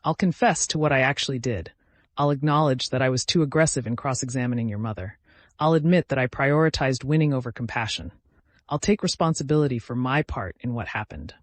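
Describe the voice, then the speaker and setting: A man's voice: medium pitch, a little fast, no room sound, lightly acted. A man voicing an earnest woman lawyer in an audiobook, remorseful but resolute, reasoning and pleading. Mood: firm defiance. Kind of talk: dramatic audiobook character reading.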